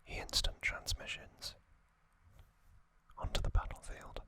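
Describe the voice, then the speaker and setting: Whispering man, slow ASMR narration. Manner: slow, deliberate delivery in short hushed phrases. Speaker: ASMR host.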